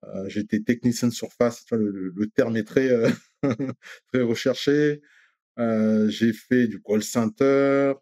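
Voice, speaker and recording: A man in his thirties from Rwanda, talking as an interview guest, hesitant with many pauses and restarts. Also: mid-range voice, steady tone, mellow, no echo, studio quality. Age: 30s. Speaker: guest, company director. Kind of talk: casual interview chat.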